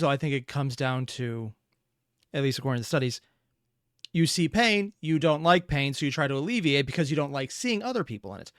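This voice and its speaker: A man's voice: on the high side, a bit bright, smooth, dry recording, studio quality. A man hosting a reaction video, talking casually in a rambling, analytical way.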